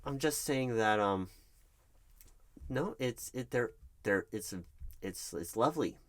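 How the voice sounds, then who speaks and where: A man's voice: mid-range voice, lively intonation, slow pace. A man playing a nervous, flustered recruiting manager in an interview roleplay, stammering and awkwardly polite. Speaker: roleplay performer. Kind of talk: one-sided interview roleplay.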